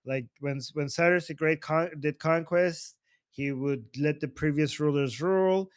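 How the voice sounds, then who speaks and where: A man's voice: fairly high pitch, moderate pace, smooth, no room sound, clean studio sound. A man from Iran hosting a casual political discussion, joking and teasing, laughing often. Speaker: host.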